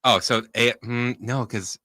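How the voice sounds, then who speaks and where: A man chatting casually on a sports radio show, hesitant and thinking out loud. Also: mid-range voice, fairly even intonation, a little slow, no room sound, clean studio sound. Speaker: radio show co-host or producer. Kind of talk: casual chat. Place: radio studio.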